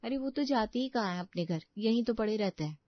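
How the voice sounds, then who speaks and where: Woman playing a cheeky young daughter-in-law, high-pitched. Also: expressive, fairly quick, no echo, lo-fi sound. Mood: playful. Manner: teasing, sarcastic. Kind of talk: cartoon moral story voice acting.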